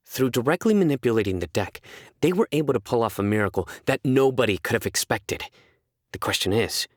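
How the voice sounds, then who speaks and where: Low, solemn young enforcer. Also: male voice, dry recording, studio quality. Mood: earnest, absorbed. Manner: measured, formal, deliberate delivery. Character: stern, principled young male judge and enforcer, solemn and reserved. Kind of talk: scripted video game character voice lines.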